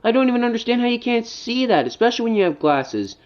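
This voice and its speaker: A man's voice: extremely high pitch, big pitch swings, quick pace, muffled recording, pitched above the performer's own voice. A man voicing a rude, boastful, grumpy tank engine in a cartoon, insulting and sneering as he talks.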